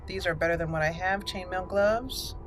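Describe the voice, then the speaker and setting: A woman hosting a casual video game walkthrough, talking herself through choices in an unhurried way. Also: lowish voice, faint music behind. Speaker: gaming channel host.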